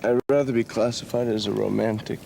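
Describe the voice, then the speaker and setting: A gravelly, wistful young adventurer. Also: male voice, fairly low pitch, fairly even intonation, a little fast, mellow, slight background noise. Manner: reflective, wistful storytelling. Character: restless romantic young male adventurer. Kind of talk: 1990s video game voice acting.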